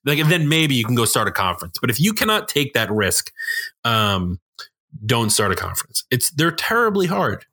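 Man, from New York, podcast guest, bright voice, mid pitch, animated, quick, lots of "like" and "um". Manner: fast, animated storyteller with many fillers. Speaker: podcast guest, business owner. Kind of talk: casual podcast conversation.

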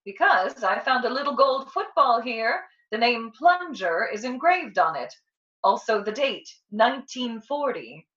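Distant muffled radio sound, witty wife, arch, teasing, high-pitched. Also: female voice, animated, unhurried, fairly bright tone. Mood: curious. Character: teasing, sharp-witted detective's wife. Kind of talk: 1940s radio drama acting. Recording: dull, distant old radio recording.

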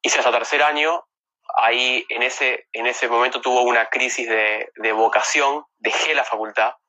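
Bright-voiced Argentine man in his thirties. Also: medium pitch, steady tone, normal speed, rough recording. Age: 30s. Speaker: interview guest, neurosurgeon. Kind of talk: casual chat. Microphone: phone with earphones on a livestream.

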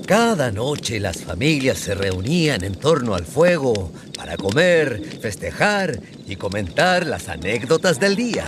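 A man's voice: mid-range voice, sing-song, measured pace, hardly any pauses. A man narrating a story in a theatrical, scripted storytelling style. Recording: clear outdoor background noise.

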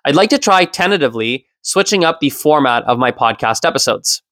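A man in his thirties hosting his own solo podcast, talking in a reflective, explanatory way with the odd soft laugh. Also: on the high side, expressive, brisk, no echo. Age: 30s. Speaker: podcast host.

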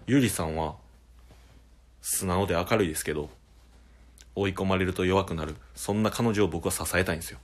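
A man's voice: low voice, a little fast, pauses often. A man acting a cheeky, boastful junior contestant in an amateur radio drama, with casually deferential speech. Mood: earnest. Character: cheeky junior male rival on a dating show, eager and boastful.

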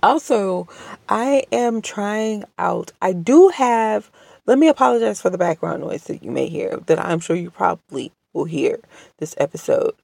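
A woman hosting a casual solo podcast from home, under the weather with a strained voice, talking slowly with many hesitations and apologies. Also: medium pitch, animated, gravelly, warm tone, no echo, studio quality. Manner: slow, hesitant, many ums and restarts; polite and apologetic. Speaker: podcast host. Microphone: home podcast microphone.

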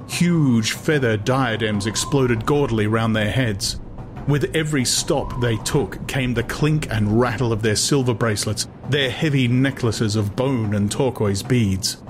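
Low-voiced man narrating over music. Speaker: audiobook narrator. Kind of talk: dramatized literary narration.

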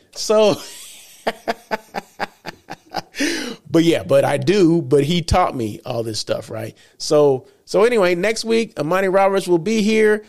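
A man's voice: high-pitched, a little mellow. A congested man hosting his own show, chatting casually and rambling, often correcting himself mid-sentence. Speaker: show host. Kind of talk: casual solo show talk.

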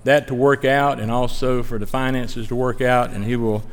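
A man's voice: mid-range voice, little pitch movement, normal speed. A man with a Stokes County North Carolina accent giving a folksy, earnest mission trip report to a church, speaking plainly and conversationally over slides. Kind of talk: plainspoken slide-show presentation. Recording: long hall reverb.

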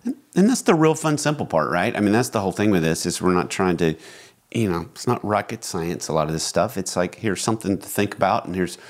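A man co-hosting a podcast in casual chat, earnest and animated as he tells stories. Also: low voice, quick pace. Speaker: podcast co-host.

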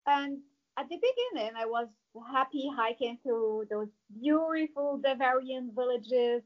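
Slow-spoken woman from Morocco. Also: sits high, expressive, dull audio. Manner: slow and measured, with fillers. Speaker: mountaineer guest. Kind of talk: interview answers.

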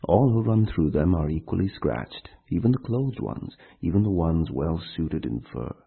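Man, poetry recitation, creaky and raspy, narrow phone-like band. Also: low-pitched, expressive, moderate pace. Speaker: poetry reader. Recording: very thin phone-like sound.

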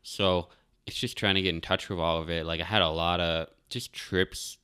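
Deep, clear-voiced man chatting casually. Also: fairly even intonation, normal speed, bright, forceful tone, no echo, studio quality. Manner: profane, slangy, informal. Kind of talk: casual podcast chat.

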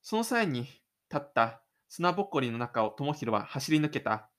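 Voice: mid-range voice, very animated, unhurried. A narrator reading scripted third-person storytelling for an audio drama.

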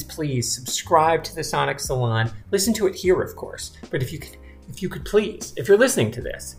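A podcast host speaking informally and directly to listeners in an introduction. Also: medium pitch. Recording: soft guitar music behind. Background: soft guitar music.

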